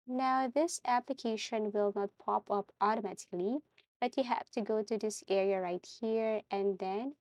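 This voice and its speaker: A woman presents a software tutorial at an unhurried, slow pace. Her voice sits at a medium pitch and is recorded dry, with no room sound. She explains things step by step in an instructional manner, pausing to check that the listener follows. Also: no echo. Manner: instructional, often checking in with "okay".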